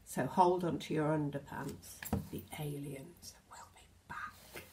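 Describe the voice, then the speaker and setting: A British woman in her sixties reads a children's picture book aloud, expressively, with gentle asides addressed to the children. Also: low-pitched, fairly even intonation, slow pace, background noise. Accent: British English. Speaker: storyteller, infant school teacher. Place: indoors in a home hallway.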